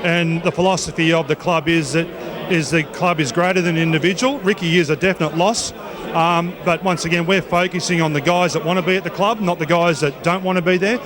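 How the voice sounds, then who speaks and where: A man answering an interview firmly and in measured phrases as a football coach. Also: on the high side, steady tone. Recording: crowd chatter behind the voice.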